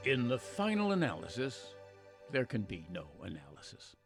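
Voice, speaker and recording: A man with an American accent playing an earnest, reflective radio narrator, delivering a scripted patriotic monologue in a measured, oratorical way. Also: medium pitch, huge pitch swings, gravelly. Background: music behind the voice.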